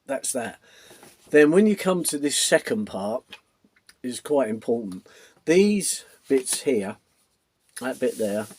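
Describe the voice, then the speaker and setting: A man with a croaky voice from a cold talks slowly and unhurriedly, pausing on lots of ums as he shows each part. The recording is dry and close, with no room sound. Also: medium pitch, darkish tone, no echo. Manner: unhurried, many ums, explains while showing parts. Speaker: model-making channel host. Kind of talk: casual step-by-step demonstration.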